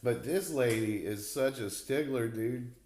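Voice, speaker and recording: A man's voice: mid-range voice, a little slow, darkish tone. A man in his forties hosting a casual podcast chat, talkative and slangy, laughing easily. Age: 40s. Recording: roomy echo.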